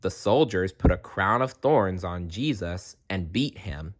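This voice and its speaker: Slow, expressive male storyteller. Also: deep voice. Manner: expressive, dramatic. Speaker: storyteller reading aloud. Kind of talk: scripted children's story narration.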